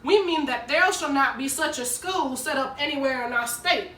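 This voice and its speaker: A woman's voice: high voice, moderate pace, bright, forceful tone. A woman playing a stern, hostile townsperson in a declamatory dramatic stage monologue. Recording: roomy, distant mic, noisy.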